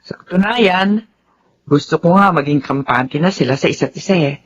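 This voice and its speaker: An actress playing a suspicious, insecure middle-aged mother in a Filipino radio drama, with a husky voice. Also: low-pitched, moderate pace, lo-fi sound. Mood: suspicious, guarded.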